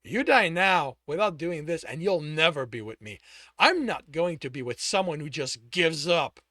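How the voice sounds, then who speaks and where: A desperate young man who forces out harsh, loud commands, his voice rising high and leaping all over the place, as a male reader acts him out. The sound is close and completely dry, with no room around it. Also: expressive, moderate pace, no echo. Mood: harsh, commanding. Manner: loud, clipped, forceful delivery.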